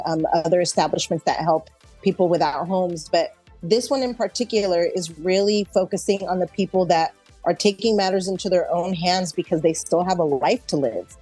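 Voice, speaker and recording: A woman's voice: fairly low pitch, a little mellow, breathy edge. A woman with an American accent chatting casually as an interview guest, explaining things in a reflective way. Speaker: interview guest, actor and improv performer.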